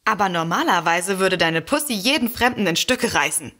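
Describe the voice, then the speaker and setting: A woman's voice: mid-range voice, expressive, moderate pace, fairly bright tone. An actress playing a polite, sceptical woman writer who speaks in a dry, matter-of-fact way in an audio drama.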